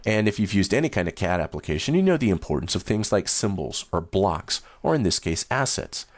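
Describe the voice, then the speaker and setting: A man walking through new software features in a steady, explanatory screen-recorded demo. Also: low voice, brisk, no echo. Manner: steady, explanatory, first-person demo narration. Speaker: software demonstrator.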